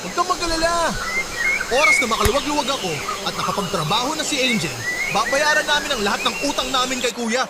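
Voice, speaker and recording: A man's voice: very high-pitched, lively intonation, fast, very noisy. A man acting as a proud, defensive younger brother and father in a radio drama. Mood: defensive, offended. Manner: defensive, touchy. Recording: loud music under the dialogue.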